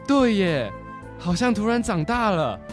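Teen boy acting cheeky little brother, animated intonation, Taiwanese Mandarin, radio drama, clear background music. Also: high voice, a little slow. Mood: correcting, reflective. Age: teenager. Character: cheeky teenage younger brother, quick to contradict.

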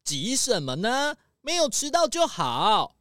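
一位女演員在兒童廣播劇中扮演一隻不慌不忙、隨和的公穿山甲。. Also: female voice, fairly high pitch, huge pitch swings, takes it slowly, fairly bright tone, no room sound, clean studio sound, full character voice. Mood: unruffled. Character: unhurried, laid-back male pangolin tourist. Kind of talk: children's detective audio drama acting.

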